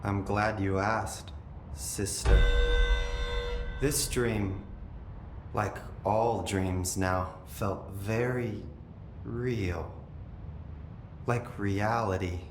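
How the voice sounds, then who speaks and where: A possessed brother in a video game, eerily calm and faintly menacing. His voice is rather low and he speaks slowly, with detached, lingering pauses. The space around him is echoey. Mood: eerie, musing. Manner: slow, detached, deliberate storytelling with lingering pauses. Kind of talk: dramatic video game acting. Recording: echoey room, noticeable low rumbling noise.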